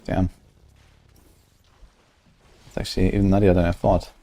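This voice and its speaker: Low-voiced man musing over a game. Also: little pitch movement, moderate pace, warm tone. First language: German. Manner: musing, calculating aloud. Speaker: streamer. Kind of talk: casual gameplay commentary.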